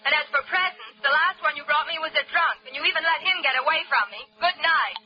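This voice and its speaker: A woman's voice: sits high, animated, quick pace. An actress playing an exasperated young girlfriend scolding her man over the phone in a 1940s radio drama. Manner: sharp, scolding. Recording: muffled 1940s radio broadcast with music.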